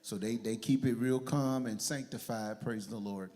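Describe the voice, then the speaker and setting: A man's voice: mid-range voice, moderate pace. A man as a hyped church livestream host. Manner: energetic, rallies viewers and studio audience. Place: church studio with live audience.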